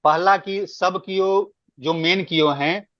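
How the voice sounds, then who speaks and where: Man, emphatic meeting explainer, slightly high pitch, lo-fi dry conference audio. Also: steady tone, slow pace, a bit bright, no echo. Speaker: meeting speaker giving guidance. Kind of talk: explanatory work meeting talk. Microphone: online conference call. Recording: dull, lo-fi conference call.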